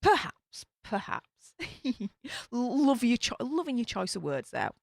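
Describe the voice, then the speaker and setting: A woman's voice: on the high side, sing-song, takes it slowly, vocal fry, no echo, studio quality. A young woman with a Yorkshire accent, chatting casually on a live stream as she reads viewers' comments aloud and laughs often. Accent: Yorkshire English. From Yorkshire, England. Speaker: YouTube streamer reading live chat.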